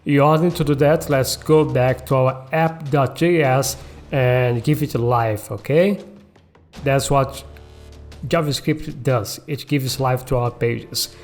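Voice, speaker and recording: Guy doing a coding tutorial, slow casual step by step, music in the back. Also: mid-range voice, fairly even intonation, darkish tone. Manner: checks in often with tag questions like okay and all right. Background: background music.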